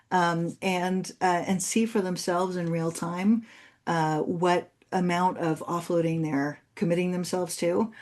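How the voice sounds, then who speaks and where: A woman's voice: on the low side, a little mellow. A woman who is a native Spanish speaker, presenting and answering questions as an articulate, explanatory university speaker. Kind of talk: conference presentation and Q&A.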